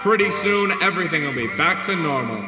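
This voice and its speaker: A chipper, patronising propaganda everyman played by a male actor in an old-time skit. His raised voice comes through dull and echoey, with loud music behind it. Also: fairly high pitch, expressive, fast talker, close to an everyday voice. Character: folksy, reassuring male propaganda announcement character. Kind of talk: old-time American public service skit.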